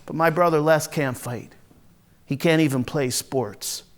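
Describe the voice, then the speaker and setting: A man playing a volatile, hard-drinking, foul-mouthed Marine from a rough neighborhood, telling his story in a raw, halting dramatic monologue. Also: fairly high pitch, close to an everyday voice. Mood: wistful, uneasy. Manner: raw, profane, halting then bursting into anger. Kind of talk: first-person dramatic monologue, conversational storytelling.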